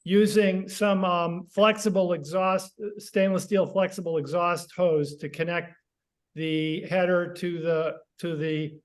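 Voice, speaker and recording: A man asking a question on a casual technical group call, very halting, with frequent long hesitations. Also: high voice, flat delivery. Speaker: club member asking a question.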